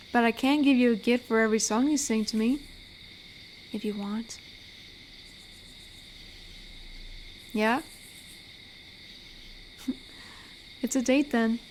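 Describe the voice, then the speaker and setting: A fairly high female voice, gentle and teasing, plays a tender werewolf who protects a forest acted by a woman. She talks softly and closely to the listener in a roleplay audio drama. Faint cricket ambience sits behind her. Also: slight background noise, lightly acted. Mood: playful, hopeful. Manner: gentle, teasing, soft-spoken.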